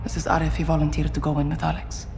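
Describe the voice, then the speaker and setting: A resolute young female militia commander with a Middle Eastern accent. She gives terse, guarded orders at a fast pace in a game cutscene, with music behind her. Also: low-pitched, little pitch movement, gravelly. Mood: tense, guarded. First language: Arabic. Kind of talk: video game cinematic acting. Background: cinematic music.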